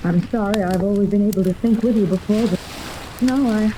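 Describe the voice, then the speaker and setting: A woman's voice: medium pitch, natural voice. An actress playing an anxious, refined wealthy woman with a hesitant manner in a 1930s radio serial. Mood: nervous. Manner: hesitant, halting. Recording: hissy 1930s radio recording.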